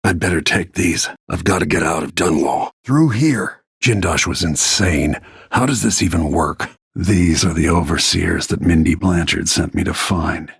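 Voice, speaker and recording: An actor playing a grim, weary middle-aged bodyguard turned assassin in a video game, speaking tersely with a gravelly, husky voice. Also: male voice, low-pitched, normal speed, no echo, clean studio sound, exaggerated voice. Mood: grim, brooding. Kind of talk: scripted game voice lines, short dramatic remarks.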